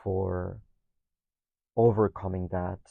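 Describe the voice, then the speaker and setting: A man's voice: deep voice, little pitch movement, takes it slowly, creaky, dry recording, phone-quality sound. A man in his thirties from Mexico with a Mexican accent, a guest talking reflectively, often hesitating, repeating words and ending thoughts with "no?". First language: Spanish. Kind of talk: reflective conversation.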